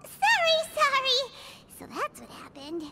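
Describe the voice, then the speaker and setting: A woman voicing a small, excitable fairy girl companion in a video game, squeaky, childlike and chirpy, speaking of herself in the third person. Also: unhurried, heavy background noise, exaggerated voice. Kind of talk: animated video game acting. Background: game music.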